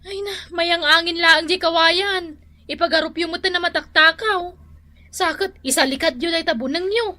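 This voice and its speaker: An actress playing a plaintive, exasperated grown daughter in a comedy radio drama, with an Ilocano accent. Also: female voice, extremely high pitch, normal speed, pushed and bright. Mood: plaintive scolding. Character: plaintive grown daughter scolding her stubborn father.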